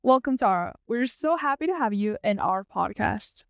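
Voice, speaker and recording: A woman's voice: medium pitch, expressive, moderate pace, gravelly, smooth, dry recording, clean studio sound. A woman hosting a podcast, giving prepared introductions and interview questions. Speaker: podcast host.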